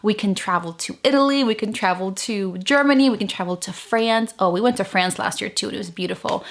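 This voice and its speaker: A woman from Venezuela in her thirties chats casually to her channel. She talks in a chatty way, with many fillers and restarts. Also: mid-range voice. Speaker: vlogger. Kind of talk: casual chat to camera.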